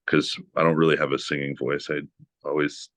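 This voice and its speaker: A man from New England with a strikingly low, deep voice speaks as a guest on a podcast. He rambles loosely and fills his sentences with "like" and "you know". The sound is dry and close, with no room echo. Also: a little fast. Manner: relaxed, rambling with many "like" and "you know" fillers. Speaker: guest guitarist. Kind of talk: casual podcast interview.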